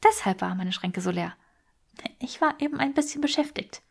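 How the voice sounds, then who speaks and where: A polite but exasperated young woman in a fantasy audiobook, voiced by a woman narrator. Her voice sits a little high, measured yet faintly flustered and defensive. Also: animated, creaky, a little mellow, heavily acted.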